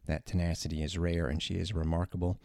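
Deep-voiced, chatty male sports radio host. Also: level tone, quick pace, creaky, dark tone, no room sound, clean studio sound. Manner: chatty, informal, rambling asides. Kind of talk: casual sports talk radio.

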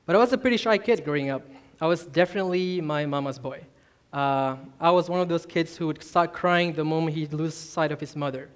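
Young man from Malaysia, mid-high voice, earnest sermon telling his story. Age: 20s. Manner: earnest, self-deprecating, admits nervousness. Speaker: youth minister preaching. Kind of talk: personal testimony sermon.

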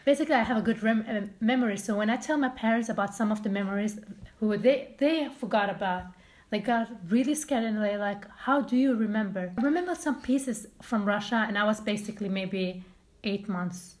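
A woman's voice: mid-range voice, fairly quick, a little mellow. A woman who grew up in Sweden, chatting casually as a podcast co-host and telling stories with a laugh. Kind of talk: casual chat.

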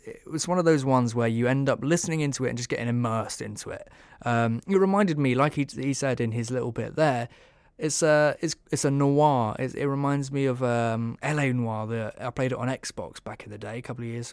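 Male podcast host: casual reviewer, meandering delivery, clear voice, dry recording. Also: medium pitch, no echo.

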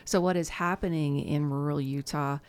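A woman's voice: low-pitched, steady tone, moderate pace, a little mellow, smooth. A woman hosting an interview, asking questions and giving encouraging replies. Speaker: host.

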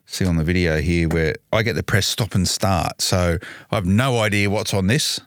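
An Australian man with a very low voice chats casually on a podcast. He rambles, teases and jokes, circling back with fillers. The recording is dry and close. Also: moderate pace, no room sound. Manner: jokey, rambling, teasing. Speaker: podcast co-host. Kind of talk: casual banter.

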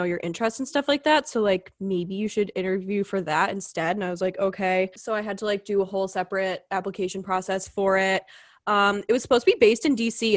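Raspy-voiced young woman, casual podcast co-host, upbeat chatter, dry recording. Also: mid-range voice, no room sound. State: recovering from illness. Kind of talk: casual chat.

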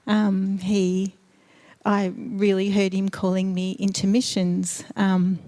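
A woman's voice: mid-range voice, completely flat delivery, slow pace, low-quality recording. Middle-aged woman telling her faith story in church, earnest, lots of ums, voice sits mid-range. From Eastern Europe, raised in Australia. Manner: earnest, thoughtful, with gentle laughs and many ums. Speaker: missionary nurse, interview guest. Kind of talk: church interview, personal testimony. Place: church sanctuary.

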